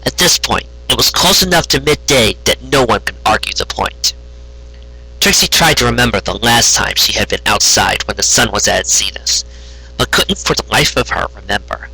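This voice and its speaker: Bright-voiced man reading a story, slightly husky. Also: mid-range voice, moderate pace, rough recording. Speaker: amateur story reader. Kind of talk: scripted fan fiction narration with character voices.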